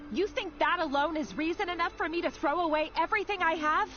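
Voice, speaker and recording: A woman's voice: very high-pitched, fast talker, a bit bright. An actress playing a conflicted but resolute young woman with a hidden past, speaking earnestly in a dramatic video game style. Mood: shocked, indignant. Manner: earnest, theatrical. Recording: slightly dull game audio with music.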